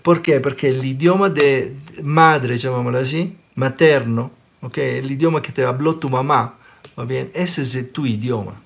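A man in his forties from Sicily who speaks with an Italian accent, giving an animated spiritual talk in an online question-and-answer session and often checking in with listeners. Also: medium pitch, measured pace, creaky, slight background noise. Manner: animated, gestures a lot, checks in with listeners by asking "ok?". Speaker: spiritual teacher. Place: small room indoors. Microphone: webcam close up.

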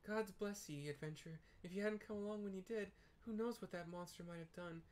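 Man putting on a humble, high-pitched grateful woman. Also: a little fast, darkish tone, lightly acted.

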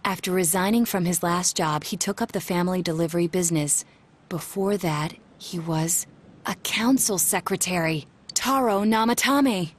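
A woman playing a calm, analytical young detective in a video game, speaking in a formal, measured and precise way. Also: fairly low pitch, natural voice. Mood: focused. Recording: music under the dialogue.

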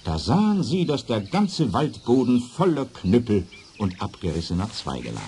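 A man narrating a scripted children's fairy tale as an expressive storyteller. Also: medium pitch, moderate pace, slight background noise.

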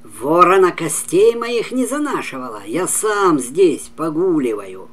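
Older man as bold boastful warrior, animated. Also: on the high side, unhurried, voice pushed up, full character voice. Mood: defiant. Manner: declamatory, boastful. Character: bold boastful young male folk-tale warrior. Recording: clearly noisy home recording.

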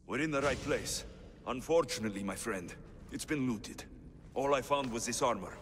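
An actor playing a stoic, wry ancient Greek mercenary with a curt, sceptical way of talking in a video game. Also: male voice, medium pitch, moderate pace, fairly bright tone, long reverb tail, slight background noise, lightly acted. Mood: matter-of-fact. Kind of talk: video game cutscene acting.

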